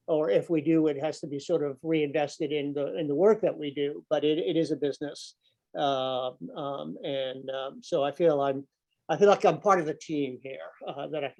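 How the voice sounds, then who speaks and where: A man's voice: a little high, vocal fry, a little mellow. An older man speaking to a business group over a video call, full of fillers and repeated words. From grew up overseas. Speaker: environmental nonprofit director and speaker. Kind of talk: informal talk to a business audience. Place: office room with a window. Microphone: laptop webcam, video call.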